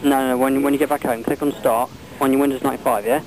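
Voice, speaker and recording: Fast-talking helpline man, noisy recording. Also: mid-range voice, fairly even intonation. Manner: patient, explanatory, placating. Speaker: helpline technical support agent. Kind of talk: step-by-step phone support. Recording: noisy telephone call recording.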